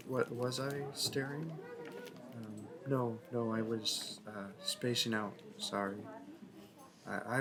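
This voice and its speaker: A man playing a shy, smitten young library worker in a roleplay audio, nervous and awkward, stammering and correcting himself. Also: fairly low pitch. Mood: awkward, wistful. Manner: stammering, hesitant, self-correcting. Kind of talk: intimate roleplay audio acting.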